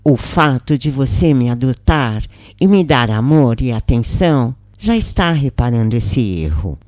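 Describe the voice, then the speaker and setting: A woman's voice: extremely low pitch, sing-song, measured pace, warm tone, no room sound, studio quality, exaggerated voice. A woman with a Brazilian accent voicing a devout, earnest daughter who questions and instructs her mother in a story. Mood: instructive.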